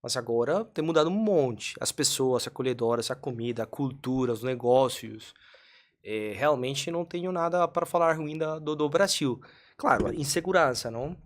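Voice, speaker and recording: A man from Colombia speaks as a podcast guest with a clear Colombian Spanish accent. He talks in an earnest, measured way, at a middle pitch, and pauses now and then to find the right Portuguese word. He is a native Spanish speaker who tells his story at a calm, even pace. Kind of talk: casual interview storytelling.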